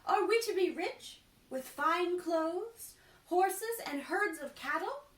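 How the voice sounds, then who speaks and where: Woman acting a high, insistent merchant's wife. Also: expressive, slow, exaggerated voice. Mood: eager, demanding. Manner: loud, insistent, emphatic. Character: demanding, eager cloth merchant's wife in a storybook. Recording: echoey room, distant mic, faint noise.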